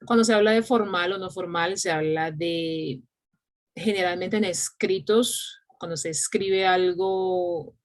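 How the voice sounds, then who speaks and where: A woman's voice: fairly low pitch, steady tone. Island-raised Colombian woman teaching English. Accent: San Andrés islander. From San Andrés, Colombia. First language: Creole English. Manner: measured, with frequent fillers. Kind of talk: online class.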